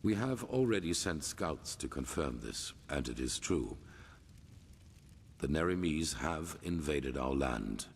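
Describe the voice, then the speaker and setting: A gravelly, very deep-voiced grandmaster. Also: male voice, big pitch swings, slow, slight background noise, full character voice. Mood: stern, measured. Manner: formal, solemn, authoritative. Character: grave, commanding older grandmaster of a holy order. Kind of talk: cinematic fantasy game voice acting. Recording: long hall reverb, music behind.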